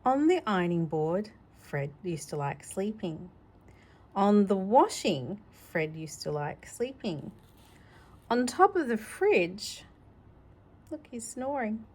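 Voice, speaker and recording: A woman reading a children's storybook aloud in an informal, gentle, conversational way, adding personal asides for a child. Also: medium pitch, sing-song, takes it slowly, slightly rough. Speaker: storybook reader.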